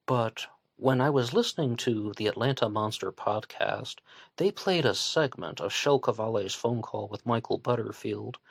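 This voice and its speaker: Low-voiced West Virginian man, dry room. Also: gravelly, no room sound. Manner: conversational, thinking aloud with hedges and restarts. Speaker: podcast host. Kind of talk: true crime commentary.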